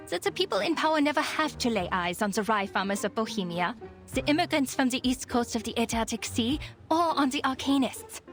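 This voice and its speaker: An actress playing a proud, eloquent psychiatrist with a sardonic, theatrical way of talking in a video game. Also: female voice, fairly high pitch, close to an everyday voice. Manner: eloquent, grandly rhetorical, sarcastic.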